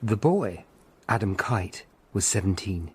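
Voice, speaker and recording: A low, husky man's voice tells a historical radio drama in the first person. He is a grave, observant Tudor lawyer, with measured, literate phrasing. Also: animated, darkish tone, full character voice.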